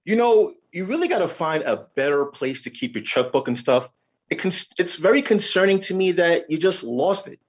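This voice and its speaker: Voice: medium pitch, lively intonation, normal speed, close to an everyday voice. A performer playing a candid, fussy male co-op worker in a comedy table read, blunt and fussing. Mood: concerned, exasperated. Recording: dull, lo-fi sound.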